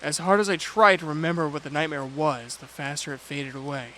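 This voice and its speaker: A man playing a reserved, dutiful young prince, narrating an audio drama in a measured, brooding way. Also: on the high side, steady tone, fairly bright tone, a little hiss or hum, close to an everyday voice. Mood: brooding, reflective. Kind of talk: first-person audio drama narration with dialogue. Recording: music and rain behind the voice. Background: music and rain.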